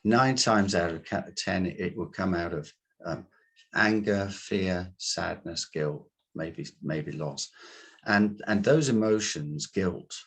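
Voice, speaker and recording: Man, very low voice, husky, deliberate halting phrasing, coach explaining in an interview. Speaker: guest, coach and hypnotherapist.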